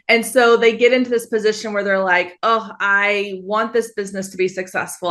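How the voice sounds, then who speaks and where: A woman in her thirties from Texas, a guest on a business podcast. Her voice is bright and a little sharp, and she sounds friendly and upbeat as she answers. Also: mid-range voice. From Texas, USA. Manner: friendly, upbeat, easy laughs. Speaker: guest, photographer and business coach. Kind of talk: podcast interview.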